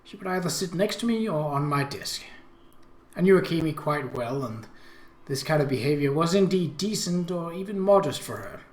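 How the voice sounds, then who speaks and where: A man with a fairly high voice hosts a let's play in an echoey room. His delivery is very animated as he moves between narrating a visual novel and casual asides, which come out a little haltingly. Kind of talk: reading a visual novel aloud with casual commentary.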